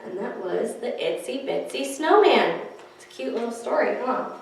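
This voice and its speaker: A woman's voice: on the high side, expressive, normal speed, noisy background. A woman leading a children's library story time, reading aloud in a sing-song way and speaking directly to young listeners. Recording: very echoey room, distant mic.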